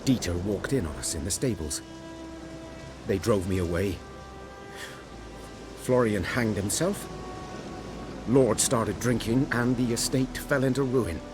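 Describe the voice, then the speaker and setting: An actor playing a weary outcast hunter, a man who speaks quietly and guardedly. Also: lowish voice, measured pace, pauses often, natural voice. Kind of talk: video game voice acting. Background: game music.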